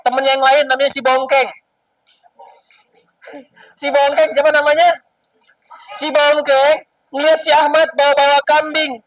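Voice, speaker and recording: A man's voice: extremely high pitch, little pitch movement, unhurried, pauses often, a bit bright, distant mic, low-quality recording. A man telling stories to children as an Islamic storyteller, teasing and explaining as he goes.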